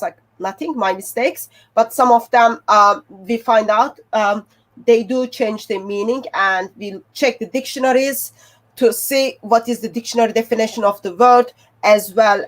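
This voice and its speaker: A woman from Turkey with a Turkish accent, a native Turkish speaker, explaining her research method step by step. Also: medium pitch. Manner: plain, step-by-step with fillers. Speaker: guest researcher.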